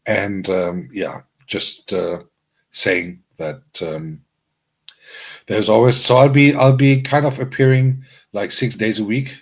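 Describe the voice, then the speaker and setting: Low husky guy rambling on his livestream, lots of ums and restarts. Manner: rambling, halting, with many fillers and restarts; friendly, announcing upcoming streams to viewers. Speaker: livestream host and DJ. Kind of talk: casual livestream chat.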